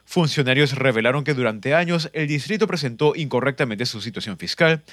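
A man reading a news report in an even, formal delivery. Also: medium pitch, a little fast, no room sound. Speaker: news reader.

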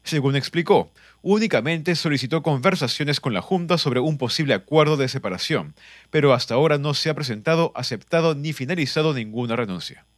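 Guy reading a news piece, steady formal delivery, dry recording. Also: a little high, fairly quick, no echo. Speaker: news reader. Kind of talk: read news report.

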